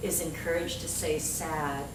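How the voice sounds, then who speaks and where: A woman's voice: deep voice, fairly even intonation, measured pace. A woman speaking informally from an audience as she puts a question to a speaker. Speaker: audience member asking a question. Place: indoor event room. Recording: echoey room, distant, crowd noise.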